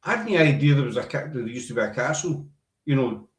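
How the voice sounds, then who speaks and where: A man with a Glaswegian Scottish accent hosting a casual live-stream interview, chatty and relaxed with stumbling restarts. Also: mid-range voice, fast talker. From Glasgow, Scotland. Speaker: host. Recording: roomy echo.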